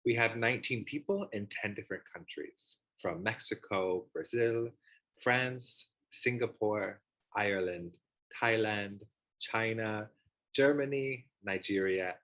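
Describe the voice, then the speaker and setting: A man's voice: on the low side, fairly bright tone, low-quality recording. A man with an upbeat, enthusiastic manner, hosting an online panel discussion over a video call. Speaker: host, organization founder.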